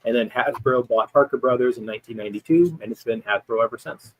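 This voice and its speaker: A man's voice: mid-range voice, fast talker, dry recording, rough recording. A man appearing as a guest expert, explaining history in a conversational way with frequent ums and restarts. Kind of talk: explaining history in casual chat.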